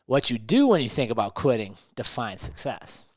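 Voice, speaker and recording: A man's voice: medium pitch, big pitch swings, normal speed, no room sound. A man co-hosting a motivational podcast, talking emphatically and often checking in with rhetorical questions. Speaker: podcast co-host.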